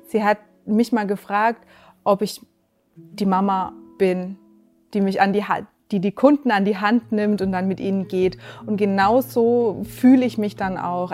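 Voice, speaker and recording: A woman in her late thirties, a full-time nutrition coach talking about her work in a relaxed interview, with quiet music underneath. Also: medium pitch. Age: 30s. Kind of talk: recruiting video interview.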